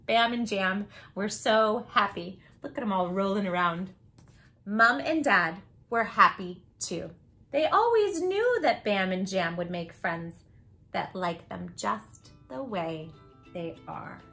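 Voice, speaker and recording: A woman's voice: mid-range voice, animated, takes it slowly, vocal fry, pushed and bright, soft background music. A woman hosting a children's read-aloud, narrating a storybook expressively and putting questions to her young listeners.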